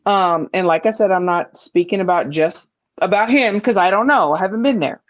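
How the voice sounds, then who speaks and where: A woman's voice: on the low side, normal speed. A woman hosting a casual boxing talk show, blunt and emphatic, who swears freely and often laughs. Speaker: boxing podcast host. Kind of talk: casual panel chat. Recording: dull lo-fi sound.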